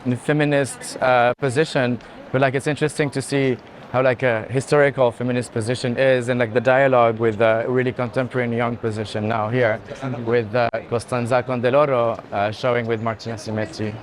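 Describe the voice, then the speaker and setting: A man giving unscripted art tour commentary in a halting, explanatory way. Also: mid-range voice, level tone. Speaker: art gallery director in conversation. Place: indoor art fair hall. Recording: clear background noise of busy venue.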